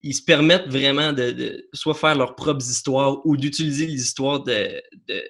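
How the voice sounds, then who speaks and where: Young Québécois man, guest chatting about films, rambling, halting, lots of fillers. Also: mid-range voice, fairly bright tone. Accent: Québécois French. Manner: rambling, many fillers and restarts. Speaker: podcast guest. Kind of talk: casual chat about films.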